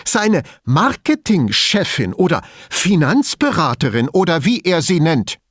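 A man's voice: a little high, very animated, normal speed, no echo, studio quality, pitched above the performer's own voice. A man voicing an agitated, defensive and spiteful woman in an audiobook, with a theatrical, indignant, emphatic delivery. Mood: scornful, angry. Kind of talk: audiobook character dialogue.

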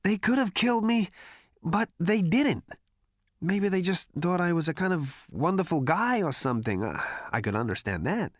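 A man's voice: high-pitched, big pitch swings, moderate pace, no echo, studio quality, voice pushed up. A man voicing a brash, cocky adult male alien who talks in breezy, boastful slang.

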